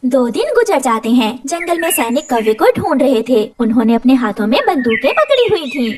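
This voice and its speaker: A woman's voice: high-pitched, big pitch swings, brisk, darkish tone. A woman reading scripted narration for a children's cartoon story.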